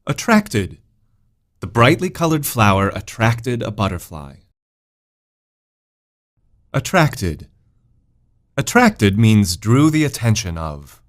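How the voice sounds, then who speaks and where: Slow, low-voiced man reading definitions. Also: big pitch swings. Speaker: vocabulary narrator. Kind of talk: scripted vocabulary read-aloud with example sentences.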